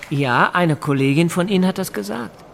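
An actor playing a bewildered hospital patient in his forties, speaking hesitantly and quietly uneasy, in a radio drama. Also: high-pitched, normal speed, creaky, slight background noise. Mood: hesitant, confused. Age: 40s. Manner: hesitant, subdued.

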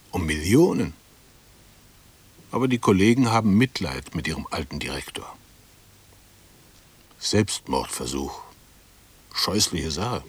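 An actor playing an embittered, dutiful bank employee in a radio play, brooding in clipped, self-justifying fragments. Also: male voice, sits low, breathy edge, full character voice. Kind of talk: interior monologue in a 1960s German radio play.

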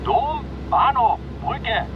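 An actor playing a calm, laconic middle-aged flight instructor, speaking in a dry, matter-of-fact way. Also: male voice, very high-pitched, extremely slow, lo-fi sound, noisy background, heavily acted.

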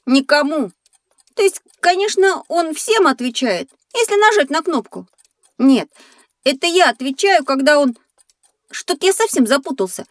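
A woman's voice: sits high, normal speed, no room sound, studio quality. A woman voicing a naive, curious young schoolboy who tends to whine, in an educational audio play. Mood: grumbling. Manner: childlike, earnest, expressive. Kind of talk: scripted educational audio drama.

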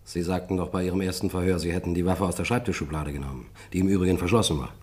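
Crime play storyteller: calm investigator, very low voice, deliberate and exact. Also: male voice, slightly rough. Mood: precise, correcting. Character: composed male investigator and storyteller. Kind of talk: German radio crime drama acting.

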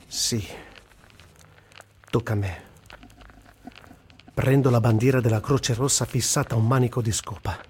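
A man's voice: mid-range voice, steady tone, measured pace, long pauses, husky. A man playing a sardonic, weary but daring Italian war journalist in a theatrical radio reading of a first-person diary. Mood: tense, weary. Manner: theatrical, swinging from muttering to shouting. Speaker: voice actor reciting.

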